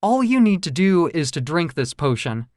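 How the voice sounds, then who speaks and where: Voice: medium pitch, very animated, normal speed, darkish tone, no room sound, studio quality, natural voice. Bargaining sea witch, as a storyteller reads her.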